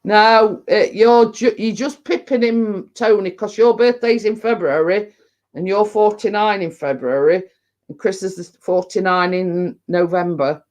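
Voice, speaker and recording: Slow-talking Lancashire woman in her fifties. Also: mid-range voice, darkish tone, lo-fi sound. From Lancashire, England. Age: 50s. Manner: chatty and rambling, laughs often. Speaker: livestream host. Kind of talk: casual live chat reading viewer comments.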